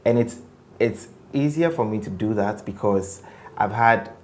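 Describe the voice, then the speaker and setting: A man, a tech company co-founder, talking in a relaxed and reflective way as the guest of an interview, with many fillers. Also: fairly low pitch, unhurried. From Ghana. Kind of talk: casual interview conversation.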